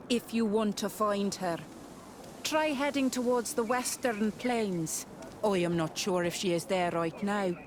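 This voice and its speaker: A woman's voice: medium pitch, background noise. An actress playing a wary, frank island villager woman in a video game. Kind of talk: video game cutscene acting.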